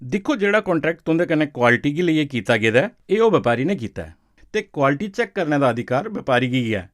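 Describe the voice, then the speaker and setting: A man's voice: a little high, big pitch swings, fast. A man with a Dogri accent explains in a conversational, instructive way for an educational film, often checking that he is understood. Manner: didactic, often seeks confirmation with short check questions. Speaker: expert explaining a farm law to farmers.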